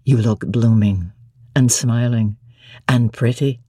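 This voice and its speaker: A woman voicing a middle-aged gentleman lover in a classic novel, tender, teasing and commanding. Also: a bass voice, takes it slowly, slightly rough, dry recording, studio quality, voice pushed down, exaggerated voice. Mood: doting. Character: deep-voiced adoring masterful gentleman lover, middle-aged. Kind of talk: dramatic classic novel reading.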